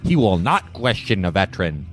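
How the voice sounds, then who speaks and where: A man playing a tabletop game, delivering comic in-character banter with deadpan bluster. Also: low-pitched, normal speed, faint music behind.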